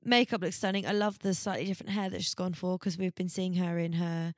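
A woman's voice: fairly low pitch, fairly even intonation, quick pace, dry recording, studio quality. A woman co-hosting a podcast, chatting casually about fashion in a blunt, sweary, enthusiastic way. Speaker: podcast co-host. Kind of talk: casual chat, fashion commentary.

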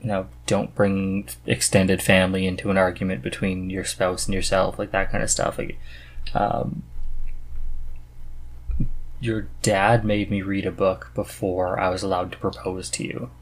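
A man's voice: low voice, little pitch movement, a little slow, low-quality recording. A man hosting a casual podcast conversation, speaking in an earnest, enthusiastic way. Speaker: podcast host.

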